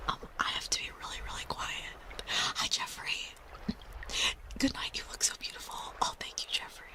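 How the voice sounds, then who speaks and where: A woman's voice: moderate pace, poor audio. A woman hosting an ASMR livestream, whispering breathily and close to the mic in a playful, rambling, apologetic way. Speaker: livestream host.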